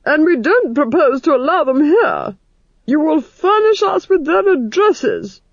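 A ruthless noblewoman from a storybook. She is haughty and imperious, speaking high and with sweeping intonation. Her orders come slowly and deliberately, in a close, dry sound. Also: very animated, dry recording, highs cut off, full character voice. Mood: stern, commanding. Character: haughty, ruthless, commanding duchess.

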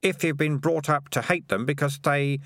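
Storybook boy, played by a male reader: level-headed, matter-of-fact, gently reproving, pitched up, dry sound. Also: fairly even intonation, normal speed, no room sound. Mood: puzzled, reasonable. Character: sensible, mildly reproving boy. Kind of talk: audiobook character voice.

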